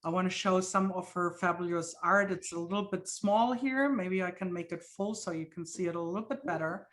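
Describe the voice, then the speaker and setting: A woman's voice: lowish voice, fairly quick, a bit bright. German-accented woman hosting a video call. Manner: chatty, with frequent fillers and laughs. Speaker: host. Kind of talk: webinar hosting. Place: home studio.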